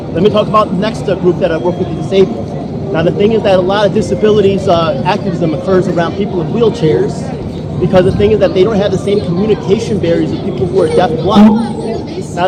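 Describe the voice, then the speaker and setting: A man's voice: sits high, fairly even intonation, fast, a loud crowd. A man interviewing a candidate on a city street, asking rambling, chatty questions. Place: outdoors on a city street with traffic. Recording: loud street noise. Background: traffic.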